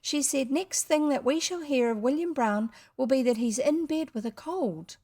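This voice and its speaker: A woman voicing a sweet little girl in a storybook, earnest, tender and wide-eyed, in a high girlish voice. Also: normal speed, dark tone. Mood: curious, frank. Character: sweet, innocent, anxious little girl next door.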